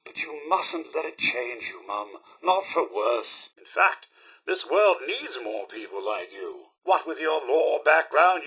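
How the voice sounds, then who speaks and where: A man's voice: on the high side, vocal fry, pushed and bright, husky, heavily acted. A man voicing a prim, devoted, fretful robot butler in a video game, with a British accent and formal, polite, theatrical delivery. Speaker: robot servant companion. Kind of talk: video game character dialogue.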